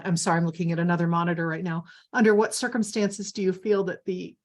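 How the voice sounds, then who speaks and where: A woman with a slightly low voice speaks earnestly on a video call, asking pointed questions and filling gaps with "you know". She talks as a family caregiver. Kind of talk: speaking up in an online meeting.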